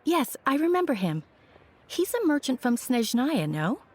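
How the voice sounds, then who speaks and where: An actress playing a helpful, courteous young go-between in a video game, explaining things in a forthcoming, polite way. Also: female voice, high-pitched, sing-song, measured pace, a little mellow, full character voice. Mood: earnest, helpful.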